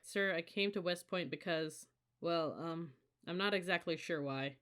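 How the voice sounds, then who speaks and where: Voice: mid-range voice, fairly bright tone, close to an everyday voice. An audiobook narrator voicing an anxious, earnest young woman cadet who hesitates and babbles to her superior. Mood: nervous. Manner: hesitant, then rushing.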